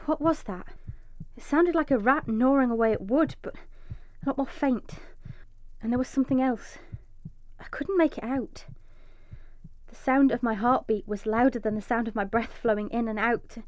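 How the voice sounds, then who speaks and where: Woman acting as trapped vengeful mother, high voice, breathy intensity, radio drama monologue, muffled echoey sound. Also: normal speed, a little mellow, lightly acted. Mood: tense, hushed. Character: desperate, vengeful young mother trapped underground, fiercely protective. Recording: echoey, slightly dull recording.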